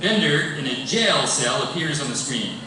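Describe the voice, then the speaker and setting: A man with a fairly high voice reads scripted stage directions. He is far from the mic in a large echoey room with loud noise. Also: animated, normal speed. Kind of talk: scripted narration at a live table read. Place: large live event room.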